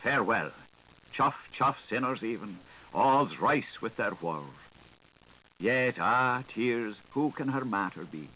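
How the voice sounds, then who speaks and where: Male literary narrator, theatrical and highly varied intonation, singsong phrasing, faint hiss of a 1980s recording. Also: animated, slow pace, bright, forceful tone, poor audio. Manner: theatrical, lilting, singsong delivery. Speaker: audiobook narrator. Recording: hissy 1980s audiobook recording.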